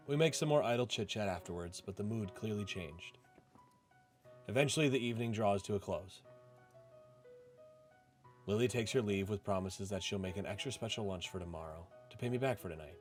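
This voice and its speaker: A man with a fairly low voice narrates a first-person story from a visual novel. He reads it aloud as the host, with music playing behind him. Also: a little fast, long pauses.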